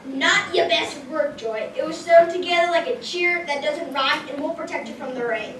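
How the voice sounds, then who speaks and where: A woman's voice: sits high, normal speed, fairly bright tone. A woman playing a stern but fair sea captain who grades schoolwork in a community puppet show. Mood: critical. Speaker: puppeteer performer. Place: large echoey hall. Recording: echoey hall, distant mic, noisy audience.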